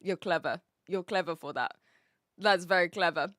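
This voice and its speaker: A woman's voice: on the low side, normal speed, fairly bright tone, no room sound, clean studio sound. A woman with a New Zealand accent, chatting casually on a livestream in a playful, rambling, teasing way as she answers viewers. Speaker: live streamer.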